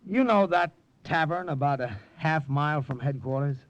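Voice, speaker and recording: An actor playing a rattled, stammering American army sergeant and spy in a 1950s radio drama. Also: male voice, a little high, normal speed, muffled recording, natural voice. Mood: weary. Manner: stammering, agitated. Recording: old radio recording with faint noise.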